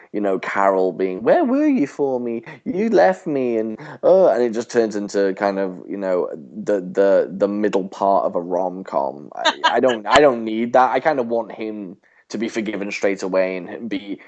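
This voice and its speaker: A man co-hosting a casual podcast, rambling with halting restarts and chuckles. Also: fairly low pitch, lively intonation, darkish tone. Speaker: podcast co-host. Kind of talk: casual chat about a TV show. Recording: dull lo-fi.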